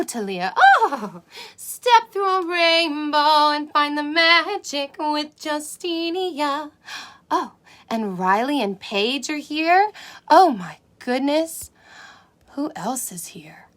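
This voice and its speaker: A sweet, wide-eyed unicorn, played by a woman, tells a picture-book story to children. Her voice sits fairly high and swoops up and down with exaggerated wonder. She takes her words very slowly, cooing over them. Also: a bit bright, full character voice. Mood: excited, welcoming. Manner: breathy gasps, cooing wonder, exaggerated emphasis.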